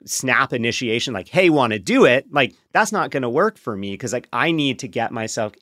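A man's voice: medium pitch, expressive, moderate pace, a bit bright, no room sound. Reflective man explaining things candidly, close dry sound. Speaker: podcast guest, co-author and partner of a sex therapist.

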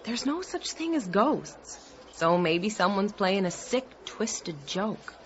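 A woman's voice: medium pitch, big pitch swings, slow pace, slight background noise. An actress playing a skeptical, logical woman friend in an audio drama, with a wry, emphatic delivery. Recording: dull lo-fi audio.

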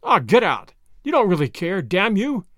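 A man's voice: high-pitched, very animated, brisk, no room sound, clean studio sound. A man voicing a hot-tempered, heartsick young Englishman in an audiobook, with a clipped, bitter, impassioned manner. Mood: anguished fury. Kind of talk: audiobook character voice.

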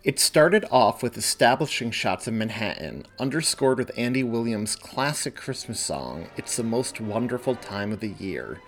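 A man's voice: medium pitch, expressive, normal speed, hardly any pauses, faint music behind. A man hosting a podcast and reading his own story aloud, moving between casual talk and narration. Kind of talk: casual podcast intro and audiobook-style narration.

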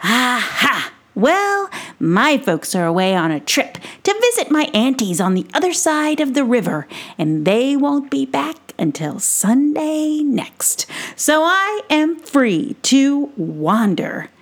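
A boastful little warrior who speaks in grand, old-fashioned phrases, voiced by a woman. The voice sits high and lilting, and each line comes slowly and with ceremony. It belongs to a storybook read aloud. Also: very animated, exaggerated voice. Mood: grandly boastful. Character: pompous small male warrior creature.

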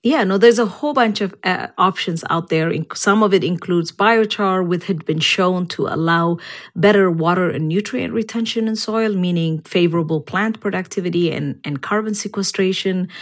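Woman science expert answering interview questions, kinda low voice, explains stuff in a measured way. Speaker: soil science expert guest.

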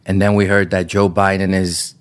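Low-voiced man raised in Amsterdam. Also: moderate pace, smooth. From Netherlands. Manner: relaxed, loose, frequent fillers. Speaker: guest. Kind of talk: casual interview chat.

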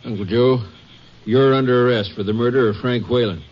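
An actor playing a stern, suspicious small-town Texas sheriff, blunt and pressing, in a 1950s radio drama. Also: male voice, mid-range voice, brisk, lightly acted. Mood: stern interrogation. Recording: dull, faintly noisy old radio broadcast.

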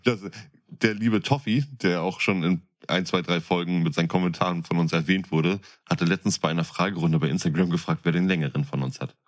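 A man's voice: deep voice, fairly even intonation, a little fast. A man co-hosting a podcast, chatting casually in a playful, joking way. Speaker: podcast co-host. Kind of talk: casual chat.

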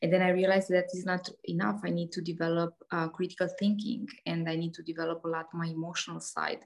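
A woman in her thirties speaking English with a Romanian accent. Her voice sits a little low. She talks casually as a podcast guest, laughing often and restarting her sentences with many fillers. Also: little pitch movement, quick pace, gravelly. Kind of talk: casual interview talk.

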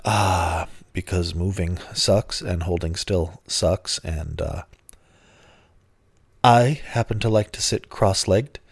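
A middle-aged man hosting his own podcast in a casual, low-energy monologue, grumpy and swearing freely while getting over bronchitis, his voice deeper than usual. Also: slow, creaky, breathy edge, dry recording, studio quality. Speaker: podcast host.

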